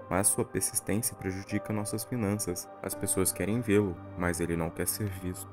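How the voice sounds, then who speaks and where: A man with a Brazilian accent narrates a scripted horror recap. His voice sits on the low side. Music plays behind him as he reads. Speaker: video narrator.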